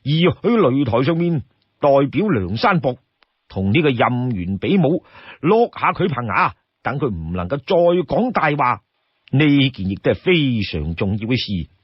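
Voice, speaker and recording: A man's voice: mid-range voice, big pitch swings, a little slow, husky, dry recording, highs cut off. A man narrating a classic adventure tale as a traditional storyteller. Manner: traditional storytelling with acted character voices. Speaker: audiobook storyteller.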